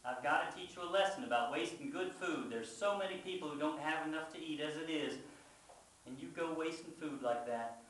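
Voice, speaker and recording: Man doing a worn out farm mama, softly scolding, measured, real echoey and far from the mic. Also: a little high, a little hiss or hum, natural voice. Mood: disappointed, stern. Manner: slow, measured, reproachful. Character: tired, disappointed rural mother, gently stern.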